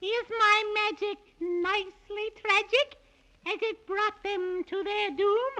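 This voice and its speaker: A woman's voice: very high voice, takes it slowly, sharp tone, no room sound, heavily acted. A woman playing a gloating wicked old witch who cackles and chants theatrical rhymes in a 1930s children's radio serial. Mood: gloating, sinister. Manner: theatrical rhyming incantations with cackling.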